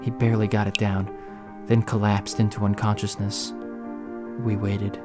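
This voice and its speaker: A man's voice: fairly low pitch, flat delivery, normal speed, over music, natural voice. A man acting a young slum hero who tells his own story in an audio drama, earnest and emotional. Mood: reflective, earnest. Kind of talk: first-person audio drama narration with acted dialogue.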